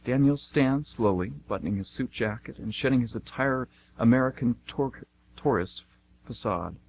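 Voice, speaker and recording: A man's voice: medium pitch, normal speed, gravelly, a little hiss or hum, poor audio. A man reading scripted narration for an amateur radio play.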